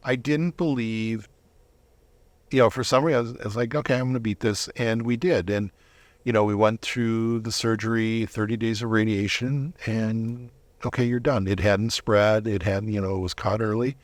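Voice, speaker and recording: A middle-aged man giving a conversational personal testimony as an interview guest, reflective and halting, often rephrasing himself. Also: fairly low pitch, fairly even intonation, dry recording.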